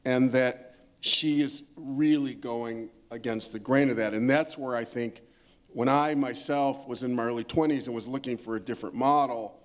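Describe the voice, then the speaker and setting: A middle-aged man speaking as an expert panelist in an emphatic, lecturing way, repeating phrases as he builds his point. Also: mid-range voice. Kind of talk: panel discussion, thoughtful commentary.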